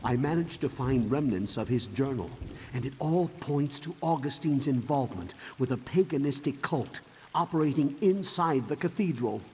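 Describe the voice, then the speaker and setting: A man's voice: mid-range voice, darkish tone, slight background noise, natural voice. Solemn monk in long reverb played by a man. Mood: solemn, accusing. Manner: solemn, measured, accusing. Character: earnest, defiant monk investigating heresy. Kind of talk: video game cutscene acting.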